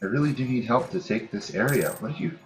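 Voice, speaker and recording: A man's voice: medium pitch, lively intonation, quick pace, faint music behind, poor audio. A man giving casual commentary on a strategy game, thinking aloud and muttering to himself in short fragments. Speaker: gamer, host of a let's play series.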